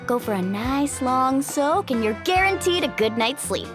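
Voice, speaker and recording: High, breezy young local guide. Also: female voice, expressive, normal speed, over music. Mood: cheerful, inviting. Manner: breezy, upbeat, chatty. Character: cheerful, confident, outgoing young woman guide. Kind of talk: anime-style video game voice acting.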